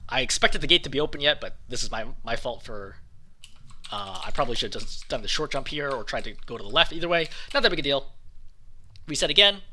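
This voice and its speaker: A man breaks down his own gameplay like a coach, sounding a bit hoarse. He talks quickly with a bright, forceful edge, and his pitch jumps around as he explains each move. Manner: quick, animated explaining over footage. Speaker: game coach. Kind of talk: gameplay commentary.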